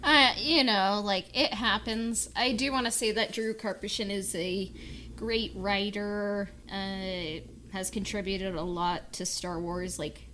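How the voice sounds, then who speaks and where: A woman's voice: mid-range voice, steady tone, slow, bright, forceful tone, a little hiss or hum. Casual woman podcast co-host, mid-range voice. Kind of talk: casual fan podcast chat.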